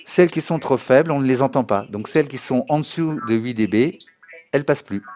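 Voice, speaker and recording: A man giving an informal spoken software tutorial in a didactic way, often checking that the listener follows. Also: medium pitch, a little fast, slight background noise. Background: synthesizer and music demo sounds.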